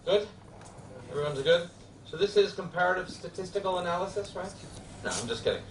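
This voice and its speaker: A law professor with a New York accent gives a friendly, halting classroom lecture full of filler sounds. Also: male voice, sits high, expressive, a little hiss or hum. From United States. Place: lecture hall. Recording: echoey hall from far off.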